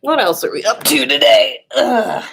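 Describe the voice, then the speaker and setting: A woman's voice: fairly high pitch, expressive, normal speed, creaky, fairly bright tone, husky. A woman hosting a casual livestream in a playful, informal way, sometimes sing-song and casually sweary. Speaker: livestream host.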